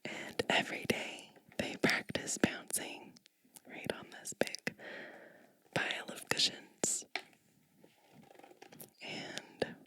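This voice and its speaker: A woman whispers a storybook in a soft ASMR style. Her breathy delivery is slow and gentle, with a crisp, bright edge and pauses between short phrases. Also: dry recording. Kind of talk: whispered ASMR storybook reading with chatty asides.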